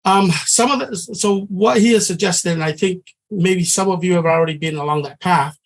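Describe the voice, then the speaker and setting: A man's voice: high-pitched, moderate pace, rough recording. A middle-aged man from Samoa, teaching a trading class in an earnest, conversational way and often restarting his sentences. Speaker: trading instructor.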